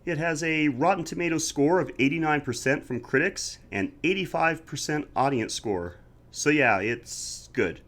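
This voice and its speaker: A man's voice: on the high side, normal speed. A man co-hosting a casual podcast, talking at length with a congested, slightly scratchy voice. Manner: relaxed, talkative, reviews with prepared facts. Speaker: podcast co-host.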